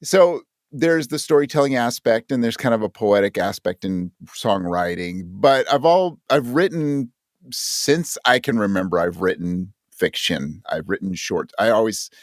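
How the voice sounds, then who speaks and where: A man's voice: lowish voice, animated, measured pace, no echo, clean studio sound. A man giving thoughtful, reflective answers as an interview guest, searching for his words as he explains. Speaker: podcast guest, audio drama creator.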